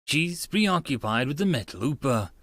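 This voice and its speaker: A man's voice: medium pitch, very animated, normal speed, fairly bright tone. A man narrating a first-person story in a dramatic audiobook style.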